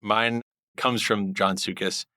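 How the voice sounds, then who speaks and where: Middle-aged man, bright forward tone, deliberate guest answers, dry close recording. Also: lowish voice, level tone, no room sound. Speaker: guest, hedge fund group head. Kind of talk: podcast interview answers.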